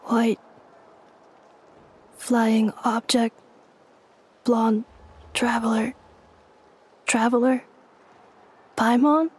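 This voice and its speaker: A woman voicing a female robot messenger from a video game, speaking in flat, literal, clipped little phrases. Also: fairly high pitch, husky, exaggerated voice. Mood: flat, robotic. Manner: halting, clipped one- and two-word phrases.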